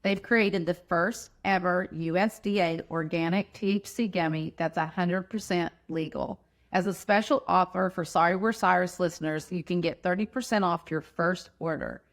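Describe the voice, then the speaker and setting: A woman's voice: lowish voice, fairly even intonation, moderate pace. A woman with an American accent reading a sponsor message in a conversational podcast style. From Kentucky, US. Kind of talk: conversational ad read.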